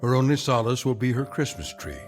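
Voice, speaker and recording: A man narrating a scripted drama anthology in a solemn, measured, wry storytelling manner. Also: mid-range voice, animated. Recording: music behind.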